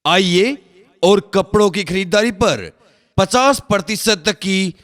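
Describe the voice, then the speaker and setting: Male promo announcer, unhurried and emphatic, phrase by phrase, heavy hall reverb on the recording. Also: high-pitched, fairly bright tone. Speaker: advertisement announcer.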